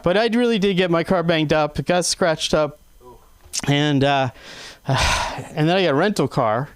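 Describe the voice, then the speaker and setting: A man's voice: fairly high pitch, unhurried, smooth. A man doing open mic stand-up, rambling and self-deprecating with many fillers. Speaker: stand-up comic. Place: comedy club stage.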